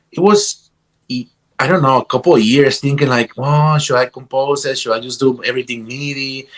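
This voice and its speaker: A man from Guatemala with a Guatemalan Spanish accent tells stories casually as an interview guest, in a halting way, repeating words as he goes. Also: mid-range voice, a bit bright. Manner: halting, repeats words, peppered with "you know". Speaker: interview guest, guitarist and music teacher. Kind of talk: casual conversational storytelling.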